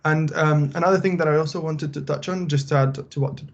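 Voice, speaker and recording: A young man from Bulgaria, a final-year student, giving a relaxed, conversational talk with many fillers. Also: fairly high pitch, fairly quick, gravelly, highs cut off. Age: young adult. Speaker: student presenter. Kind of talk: casual presentation.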